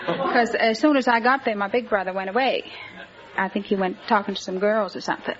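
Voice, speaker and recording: A woman's voice: medium pitch, fairly even intonation, a little fast. Hesitant woman contestant, old radio. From New England. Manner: hesitant, many "uh"s, earnest. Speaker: quiz show contestant. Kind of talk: rambling storytelling. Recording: lo-fi 1950s radio broadcast with loud studio audience.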